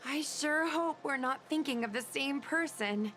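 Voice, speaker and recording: A wary young woman in a game. She talks slowly and deliberately in a high voice, over music. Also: fairly bright tone. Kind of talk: dramatic video game dialogue.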